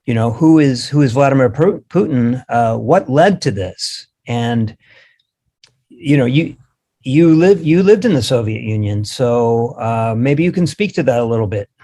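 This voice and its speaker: A man hosting an interview in a relaxed, hesitant way, with wry jokes. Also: mid-range voice, unhurried. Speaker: host.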